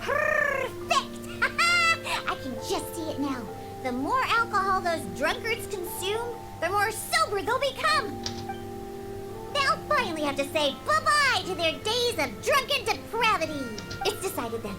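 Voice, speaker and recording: A woman's voice: very high voice, big pitch swings, unhurried, heavily acted. A woman voicing a proud, feisty young catgirl bartender in a video game, with a girlish, sassy, theatrical delivery. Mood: gleeful. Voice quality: girlish, childlike. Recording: game music behind the voice.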